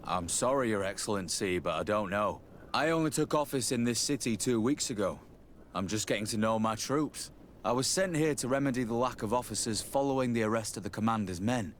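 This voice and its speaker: A man as a low-voiced, deferential lieutenant. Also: animated, a little fast, slight background noise, natural voice. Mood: politely apologetic. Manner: formal, apologetic. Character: polite, deferential male army lieutenant. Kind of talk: video game cutscene acting.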